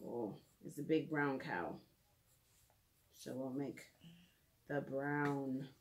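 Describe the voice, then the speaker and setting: A woman in her thirties, a children's teacher, talks gently and simply through a drawing lesson, thinking aloud, recorded in a living room by a camera a few feet away. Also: very deep voice, steady tone, extremely slow. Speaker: children's art and reading teacher. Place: living room at home, indoors.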